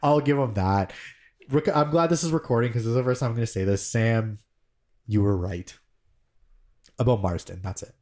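A man co-hosting a podcast, chatting casually about movies in a rambling, excitable way. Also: medium pitch, moderate pace, pauses often. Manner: rambling, excitable, many fillers and restarts. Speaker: podcast co-host.

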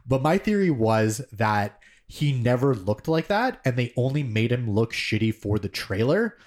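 A man's voice: medium pitch, very animated, unhurried, a little mellow. A man co-hosting a podcast, chatting casually, rambling with lots of "like" and casual swearing. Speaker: podcast co-host. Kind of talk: casual chat.

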